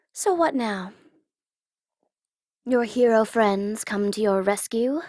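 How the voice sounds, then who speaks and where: Slow, taunting female supervillain, acted by a woman. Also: a little high, darkish tone, no room sound, clean studio sound. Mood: gloating, mocking. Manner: taunting, theatrical, teasing, drops into breathy whispers. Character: smug tsundere female supervillain gloating over her captive hero. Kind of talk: ASMR audio roleplay, theatrical villain monologue.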